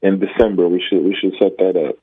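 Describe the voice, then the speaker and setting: A man with a very low voice calls in over a tinny, phone-like line. He rambles easily through his answers, filling gaps with ums and uhs. The sound is dry and close. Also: steady tone, fast, breathy edge, dry recording. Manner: rambling, filler-heavy, easygoing. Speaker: phone-in comedian guest. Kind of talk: casual phone interview. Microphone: telephone.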